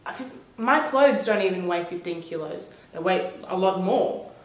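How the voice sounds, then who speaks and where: A young woman chatting casually as a travel vlogger, telling stories in an animated way and acting out bits of dialogue. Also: lowish voice, moderate pace. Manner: animated storyteller, acts out reported dialogue. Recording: roomy echo.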